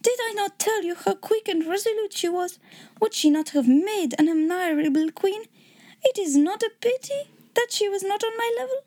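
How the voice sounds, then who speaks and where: A woman's voice: extremely high pitch, lively intonation, moderate pace, dark tone, pitched above the performer's own voice. A woman voicing a proud, effusive king from a storybook with a German accent, speaking in a grand, exclamatory, formal way. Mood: admiring, exclamatory. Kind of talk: storybook character reading.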